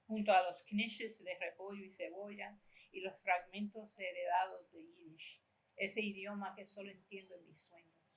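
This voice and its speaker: An older woman from Puerto Rico giving a keynote talk in a university amphitheater, reading her own prose in a measured, lyrical way and switching between Spanish and English. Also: mid-range voice, fairly even intonation, low-quality recording.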